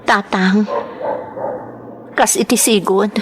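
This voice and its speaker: A woman's voice: mid-range voice, fairly even intonation, slow pace, noisy background, natural voice. A woman playing an anguished, devoted young wife in a sentimental radio drama, pleading and emotional. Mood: sorrowful, resigned.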